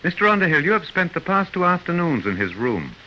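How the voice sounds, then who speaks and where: An actor playing a humanoid robot servant in a radio drama, formal and emotionless, politely relentless and controlling. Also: male voice, fairly high pitch, sing-song, fairly quick, lo-fi sound. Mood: polite menace. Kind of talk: 1950s American radio drama acting. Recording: hissy old radio recording.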